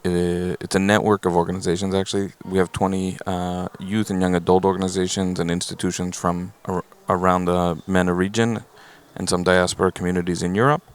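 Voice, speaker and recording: A man from Israel with an American accent introducing himself on a conference panel, steady with few fillers. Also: low-pitched, brisk, few pauses, clear, resonant tone. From Israel, born in the United States. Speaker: panel speaker, organization co-founder.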